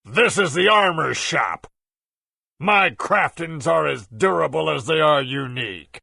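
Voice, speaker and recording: An actor playing a proud, welcoming armor merchant in a fantasy game, creaky and rough, with showy formal salesmanship. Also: male voice, a little high, expressive, unhurried, bright, forceful tone, dry recording, studio quality, full character voice. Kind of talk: fantasy game character acting.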